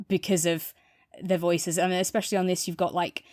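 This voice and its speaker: A woman's voice: lowish voice, level tone, fairly quick, a little mellow, no echo, studio quality. A woman co-hosting a podcast, talking casually through a review.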